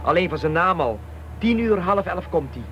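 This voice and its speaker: An actor playing a reluctant young police rookie working undercover in a naturalistic radio play. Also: male voice, high-pitched, expressive, normal speed, fairly bright tone, lo-fi sound, a little hiss or hum. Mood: shaken, relieved.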